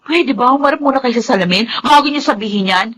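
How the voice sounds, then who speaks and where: An actress playing a bitterly defiant, anguished young daughter in a melodramatic radio drama, sarcastic and tearful, slipping into English. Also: female voice, high-pitched, animated, quick pace, a bit bright, breathy edge, exaggerated voice. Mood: bitter sarcasm.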